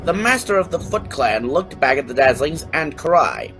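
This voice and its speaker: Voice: mid-range voice, very animated, normal speed, music in the background, rough recording. A narrator reading a scripted fan fiction story aloud.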